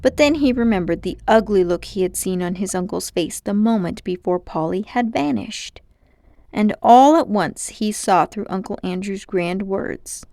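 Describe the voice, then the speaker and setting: A woman's voice: medium pitch, normal speed, creaky, warm tone, no room sound. A woman storyteller reading a children's book aloud as narration.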